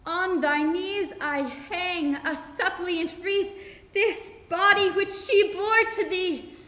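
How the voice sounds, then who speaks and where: A woman's voice: extremely high pitch, pushed and bright, pitched above the performer's own voice, heavily acted. A young woman performing a Greek princess who begs her father for her life in a declamatory stage monologue. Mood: solemn pleading. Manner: declamatory, pleading. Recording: echoey reverberant hall.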